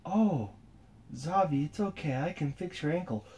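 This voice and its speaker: A man's voice: on the high side, lively intonation, moderate pace, voice pushed up, lightly acted. Annika, a man putting on a sweet giant girl. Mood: soothing. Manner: soft, hesitant, coaxing. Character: kind giant little girl, gentle and secretive.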